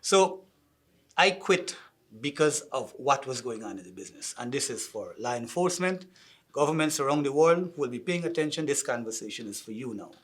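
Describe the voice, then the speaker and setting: A husky-voiced man speaks with a Guyanese accent. He answers interview questions in an outspoken, emphatic way about music and his label. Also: mid-range voice, animated, fairly quick, a bit bright.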